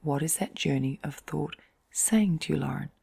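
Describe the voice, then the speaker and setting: A middle-aged woman in a soft, whispery, breathy voice, giving a gentle, reflective bedtime chat in an intimate ASMR-like style. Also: low-pitched, moderate pace. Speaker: host of relaxation and sleep channel.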